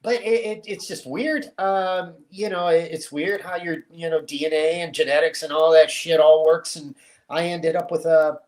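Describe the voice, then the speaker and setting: A middle-aged man born in Cuba, a guest telling family stories in a relaxed, easy way and laughing often. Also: fairly high pitch, normal speed. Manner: relaxed storyteller, laughs easily. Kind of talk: casual interview chat.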